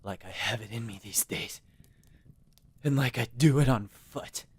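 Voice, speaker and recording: A raspy, bitter, dying laborer. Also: male voice, medium pitch, unhurried. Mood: weary resentment. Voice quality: raspy, gravelly. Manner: sardonic, labored speech broken by coughs. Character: bitter, dying wounded dockworker, foul-mouthed and defiant. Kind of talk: audio drama acting.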